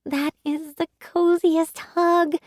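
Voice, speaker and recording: A woman voicing an eager, affectionate young girl in a children's story, sweet, excitable and earnest. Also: extremely high pitch, slow pace, no echo, studio quality, voice pushed up. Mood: delighted. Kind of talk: children's audiobook read-aloud.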